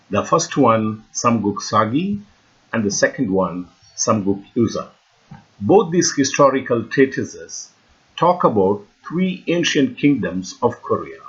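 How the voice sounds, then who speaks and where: Low-pitched man with a Tamil Indian accent. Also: fairly bright tone. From India, Tamil region. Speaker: researcher and lecturer. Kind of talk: scripted lecture narration. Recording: dull, lo-fi voice recording.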